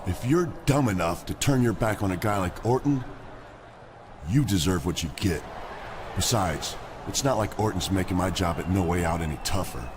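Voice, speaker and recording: A cocky wrestler brushes off his rival with sarcasm, voiced by a man. His voice is low and steady. Noise sits behind him. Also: normal speed, lightly acted. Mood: dismissive. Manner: sarcastic, dismissive.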